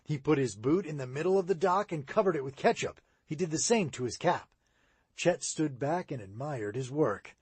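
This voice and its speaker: Lively-voiced man reading a children's book. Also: on the high side, moderate pace, breathy edge, dry recording. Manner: expressive read-aloud, rising and falling. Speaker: audiobook reader. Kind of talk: children's audiobook narration.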